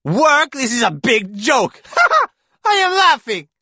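A fierce woman with a heavy foreign accent, crowing with open scorn, as a male narrator puts her on. Her voice sits high and leaps around, bright and sharp. The sound is close and dry. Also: very animated, takes it slowly, no echo, exaggerated voice. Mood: scornful.

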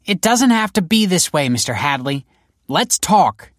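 A man's voice: on the high side, very animated, measured pace, fairly bright tone, no room sound, clean studio sound, pitched above the performer's own voice. A man voicing a stern, cold female police detective in an audiobook story, commanding and clipped. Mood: firm warning.

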